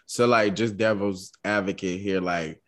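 A man co-hosting a casual discussion podcast, talking in an even monotone with lots of "like" fillers. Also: sits low, a little slow. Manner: speaks monotone, many "like" fillers. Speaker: podcast co-host. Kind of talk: casual chat.

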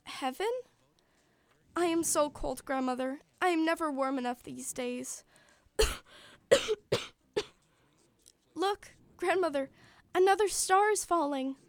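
A woman's voice: sits high, measured pace, no room sound. A woman playing a poor, polite, hopeful young match girl in a radio play, speaking earnestly and formally. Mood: yearning, wondering. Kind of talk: stage-style radio play acting.

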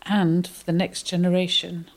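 Woman acting a lower-voiced, earnest townswoman. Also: little pitch movement, moderate pace, lightly acted, low-quality recording. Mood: resolute. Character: concerned townswoman sewing a river tapestry. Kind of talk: scripted community radio play.

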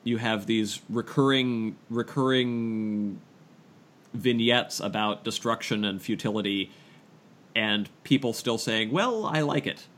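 A man casually discussing books as a podcast co-host, halting and repeating phrases as he thinks. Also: fairly low pitch, fairly bright tone.

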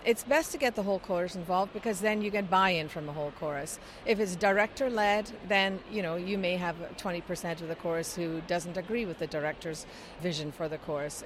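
A woman's voice: fairly low pitch, noisy background. A middle-aged woman from Scotland, giving explanatory interview answers with frequent fillers. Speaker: interviewee, chorus singer.

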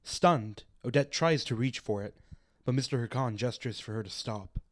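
A radio drama narrator with a medium-pitched voice. The delivery is scripted, setting out the actions of the characters. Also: moderate pace. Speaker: narrator reading stage directions.

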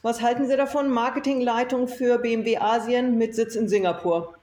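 Echoey, mellow-voiced enthusiastic woman. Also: a little high, fairly even intonation, a little fast. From Germany. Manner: enthusiastic, emphatic. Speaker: interview guest, self-employed former marketing executive. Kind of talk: conversational storytelling interview. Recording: echoey room, faint noise.

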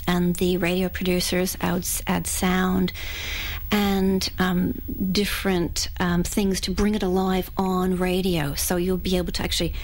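A Canadian woman in her thirties with a mixed accent answers questions in a radio studio. She is thoughtful and friendly, pausing on fillers and restarting her phrases. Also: on the low side, poor audio. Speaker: writer being interviewed. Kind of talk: radio interview.

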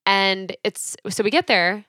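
A young woman co-hosting a podcast, telling animated stories in casual chat and acting out the people she quotes. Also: mid-range voice, measured pace, dry recording, clean studio sound. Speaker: podcast co-host.

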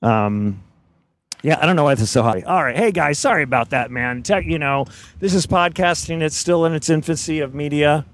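A man's voice: a little high, fairly quick. A man from Indiana hosting a podcast, chatting casually and teasing his guest while riding in a car. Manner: laughs often, teasing. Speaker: podcast host. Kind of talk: casual chat. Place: inside a moving car.

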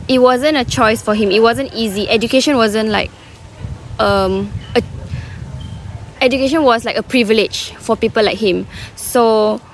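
A young woman from Singapore telling a personal story to a live audience, candid and halting, with many fillers and restarts. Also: medium pitch, a little hiss or hum. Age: young adult. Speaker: student storyteller. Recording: faint room ambience behind a live talk.

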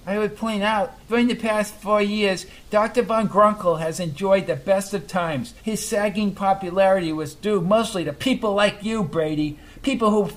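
A polished male organizer speaks up in a raised, careful register, keeping things formal and calm, as a man acts him out. Each line comes out like a gentle ruling from the chair. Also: high-pitched, moderate pace, few pauses, pitched above the performer's own voice, lightly acted. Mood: defensive. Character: smooth male meeting organizer acting as referee.

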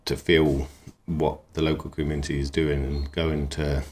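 Very deep-voiced relaxed man. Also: normal speed. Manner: easygoing, rambling, enthusiastic. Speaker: knifemaker and cook. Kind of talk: relaxed interview talk.

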